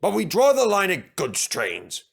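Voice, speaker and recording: A man's voice: sits high, wildly swooping pitch, measured pace, gravelly, slightly rough, voice pushed up, exaggerated voice. A man voicing snobbish male steam engines in a children's story, haughty and grumbling.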